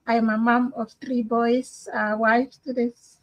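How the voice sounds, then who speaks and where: A woman from answers interview questions over a video call. Her voice is quite high. She talks warmly and gratefully, with many small fillers. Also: little pitch movement, unhurried, low-quality recording. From Papua New Guinea. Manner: warm and grateful, with frequent fillers. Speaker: guest, business owner.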